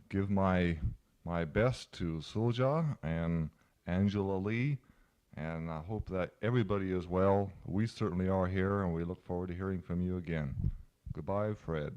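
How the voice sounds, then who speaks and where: Very deep-voiced man, halting and plain. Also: mellow. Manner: halting, plain-spoken, with fillers. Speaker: family member sending a taped letter. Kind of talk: casual spoken letter. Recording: lo-fi 1970s cassette tape.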